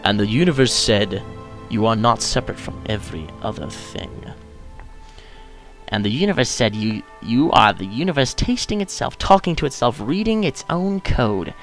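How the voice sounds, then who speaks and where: A man's voice: mid-range voice, big pitch swings, normal speed. Man giving a solemn reading over music. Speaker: video host of a gaming channel. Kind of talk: dramatic reading, slow and solemn. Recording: music under the voice. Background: background music.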